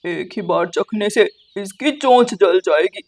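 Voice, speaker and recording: A woman's voice: medium pitch, a little slow, darkish tone. Woman voicing a sly, boastful cartoon crow trickster. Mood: mocking. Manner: sly, taunting. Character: scheming, boastful, mocking male crow trickster. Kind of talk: Hindi children's cartoon dialogue.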